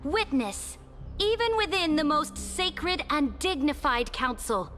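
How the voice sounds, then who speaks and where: High-voiced imperious female ruler. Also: a bit bright, exaggerated voice. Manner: formal, haughty, measured oratory. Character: imperious, cold, commanding female ruler addressing her council. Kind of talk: dramatic game voice acting.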